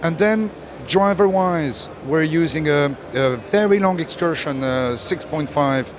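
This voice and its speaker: Halting male product presenter amid crowd noise. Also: on the high side. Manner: halting, explanatory, technical. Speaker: company representative presenting a new product. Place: exhibition stand at a trade show. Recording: busy trade-show hall with crowd murmur.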